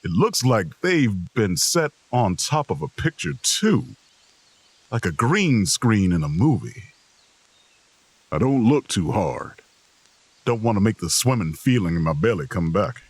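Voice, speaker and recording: A weary, ailing road traveler played by a man in an audio drama. He has a husky voice that rises and falls as he reflects dreamily, recorded close and dry. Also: on the low side, very animated, unhurried, no room sound, full character voice. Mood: weary, dreamy. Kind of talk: audio drama, slow reflective first-person narration.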